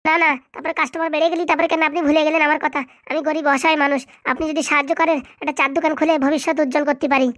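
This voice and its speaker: Sly village sweet-talker for comedy cartoon, female performer: chatty, high voice, rattling pace. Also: racing, hardly any pauses, no echo. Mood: pleading. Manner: chatty, flattering, insistent. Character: sly flattering villager pleading for a loan. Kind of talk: amateur comedy cartoon dialogue.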